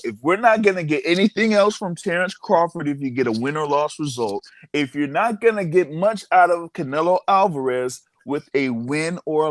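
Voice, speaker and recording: A man's voice: medium pitch, no room sound. A man on a sports panel, arguing his view in casual debate.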